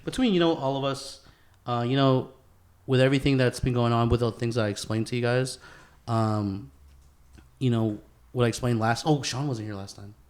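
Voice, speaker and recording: A man's voice: medium pitch, normal speed, a little mellow. A man hosting a casual podcast chat in a garage, speaking informally with slang and swearing. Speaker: podcast host.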